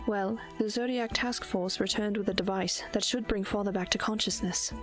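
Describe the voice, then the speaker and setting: A woman playing a caring, protective princess and older sister, with a measured, formal, soothing manner in an audio drama. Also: medium pitch, fairly even intonation, fairly bright tone, natural voice. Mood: calm, reassuring. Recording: music bed behind the voice.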